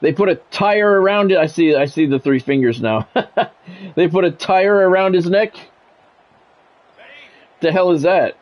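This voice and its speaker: Higher-voiced man calling football play-by-play. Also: lively intonation, a little slow, long pauses, darkish tone. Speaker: sports video game streamer doing play-by-play. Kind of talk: football play-by-play commentary with casual reactions.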